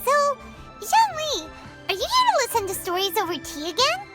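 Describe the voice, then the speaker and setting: A woman voicing a tiny, excitable, childlike fairy companion in a video game, with a squeaky, cheeky, exclamatory delivery. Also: normal speed, loud music behind the voice, exaggerated voice. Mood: cheerful. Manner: refers to self in third person, exclamatory, cheeky.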